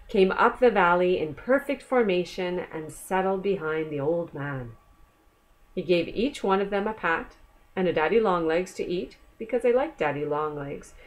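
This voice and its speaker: Female storyteller, slightly low voice, expressive read-aloud for children with conversational asides. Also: poor audio. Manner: expressive, pausing to explain and ask the listener questions.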